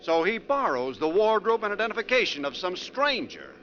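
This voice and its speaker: Needling sardonic talker, highly animated, theatrical 1940s radio drama, dull old recording. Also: male voice, fairly quick, a little hiss or hum. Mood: speculative, intense. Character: sarcastic, edgy, talkative male bus passenger.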